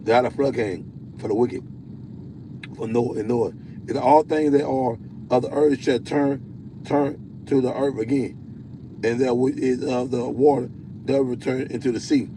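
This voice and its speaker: A man with a deep voice preaching on a livestream. He reads scripture slowly, stumbling and repeating words. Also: steady tone, background noise. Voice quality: self-described deep voice. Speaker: self-styled prophet hosting a livestream.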